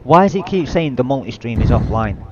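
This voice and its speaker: A man's voice: mid-range voice, brisk, lo-fi sound, noisy background. A young man from Yorkshire, chatting and joking on a headset while streaming a driving game. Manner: lively, joking, protests innocence. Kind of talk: casual gaming chat.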